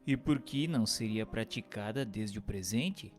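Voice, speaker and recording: A man reading scripted narration and questions in a formal, deliberate way. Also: on the low side. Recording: long hall reverb, music underneath. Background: music.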